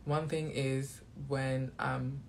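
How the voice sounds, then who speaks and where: A man's voice: mid-range voice, flat delivery, extremely slow, creaky, a little hiss or hum. A man from Belgium speaks English, though French is his first language. He delivers a casual, defiant monologue straight to his viewers, throwing shade at his critics with a sassy edge. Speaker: vlogger.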